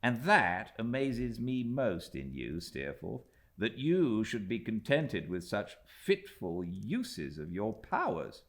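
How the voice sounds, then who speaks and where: A man voicing an earnest, admiring young British gentleman in a classic audiobook, speaking sincerely and eagerly. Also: fairly low pitch, big pitch swings, slow pace, pitched above the performer's own voice, heavily acted. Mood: earnest, amazed. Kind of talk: dramatised classic audiobook dialogue.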